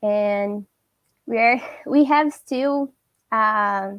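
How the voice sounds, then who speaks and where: A woman's voice: on the high side, drawn-out words, no echo. A woman, a panel guest searching for her words in English during an online discussion. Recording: dull, dry lo-fi audio.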